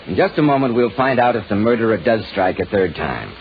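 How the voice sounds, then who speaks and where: A man announces in 1940s radio commercial style. His fairly low voice is polished and emphatic, with animated swings. The recording is muffled and faintly noisy. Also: a little fast, over loud music. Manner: polished, emphatic selling delivery. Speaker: radio announcer and sponsor pitchman.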